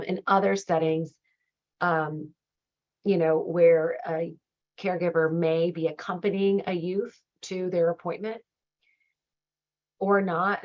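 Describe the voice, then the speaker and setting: A woman with a low, slightly raspy voice that is starting to go, leading a training session. She explains in a measured, supportive, conversational way. The audio is dull and a bit muffled. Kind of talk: conversational slide presentation.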